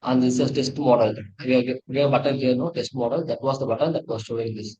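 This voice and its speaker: Halting Indian-accented male tutorial presenter. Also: medium pitch, steady tone, darkish tone, lo-fi sound. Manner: halting, repetitive, self-correcting. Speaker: presenter of an engineering project demo. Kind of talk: tutorial walkthrough, partly read from slides.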